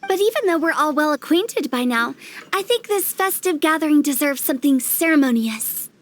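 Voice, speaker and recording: A woman's voice: high voice, lively intonation, normal speed. A woman voicing a cheeky teenage girl director in a video game, breezy and theatrically ceremonious. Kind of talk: animated game dub acting.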